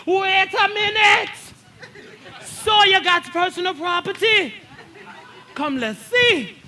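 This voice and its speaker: A man's voice: very high-pitched, slow, bright, forceful tone, a faint crowd, slight background noise, exaggerated voice. A man voicing an angry, jealous boyfriend with a Jamaican accent in a confrontational stage monologue. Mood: indignant, mocking. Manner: confrontational, indignant.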